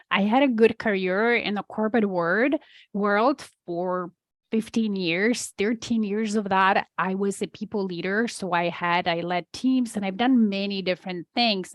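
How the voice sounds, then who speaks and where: A woman's voice: mid-range voice, no room sound. A middle-aged woman with a Polish accent, a business coach telling her story as a podcast guest, easygoing and laughing lightly. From Poland.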